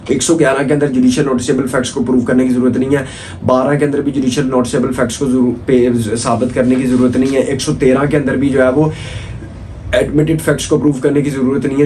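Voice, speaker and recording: A man's voice: medium pitch, a little mellow, slight background noise. A man with a Pakistani accent giving an informal law lecture, repeating points, posing rhetorical questions and mixing in English legal terms. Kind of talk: informal exam-oriented lecture.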